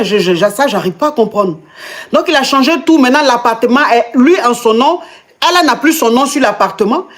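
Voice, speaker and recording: This is a woman with an Ivorian French accent who hosts a call-in advice show. Her voice sits somewhat high. She questions the caller over and over, stammering repeats as she struggles to believe the story. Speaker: host and coach. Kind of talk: live call-in advice chat.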